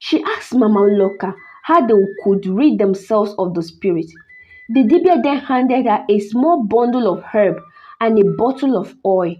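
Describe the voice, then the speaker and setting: A Nigerian woman narrating a scripted folktale, in a dramatic, deliberate way with drawn-out phrases. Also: a little high, expressive, highs cut off. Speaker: storyteller, channel host.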